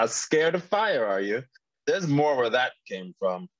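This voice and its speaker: Fire-slinging cartoon wizard, acted by a man, deliberate and theatrical, with very lively intonation. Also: mid-range voice, no room sound, heavily acted. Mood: taunting. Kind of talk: animated fantasy table read.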